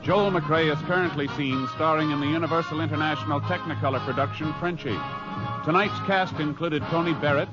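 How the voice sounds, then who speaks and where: Formal 1950s radio announcer, man. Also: a little high. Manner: measured, deliberate delivery. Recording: dull old radio broadcast.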